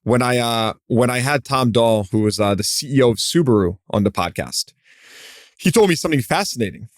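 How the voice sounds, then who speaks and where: A man's voice: mid-range voice, expressive, a little fast, no room sound, studio quality. A man hosting a business podcast, asking curious questions in a loose style full of fillers. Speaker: podcast host. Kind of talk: podcast interview.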